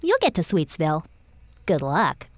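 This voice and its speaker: A woman's voice: sits low, wildly swooping pitch, moderate pace, dry recording, full character voice. A woman voicing a sassy, bossy, chatty fairy-tale girl in a cartoon video game.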